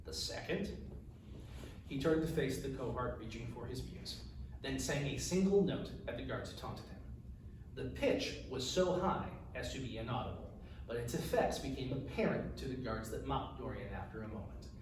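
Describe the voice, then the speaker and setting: A man reading his fantasy novel aloud as its author, in a measured, formal storytelling delivery. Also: medium pitch, animated. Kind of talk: narrated fantasy fiction reading. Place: echoey room, recorded from a distance. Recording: distant mic, very echoey room, faint noise.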